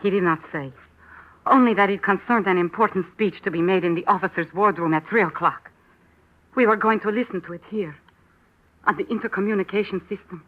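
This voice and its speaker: An actor playing a grieving young woman in an old radio drama, speaking softly. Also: sits high, a little fast, close to an everyday voice. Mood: hushed. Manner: soft, hushed. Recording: tinny old radio recording.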